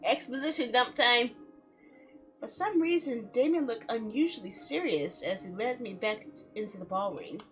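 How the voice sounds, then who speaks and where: A woman game streamer reading a visual novel aloud in a theatrical, expressive way, with playful asides. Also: fairly high pitch, normal speed. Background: background music.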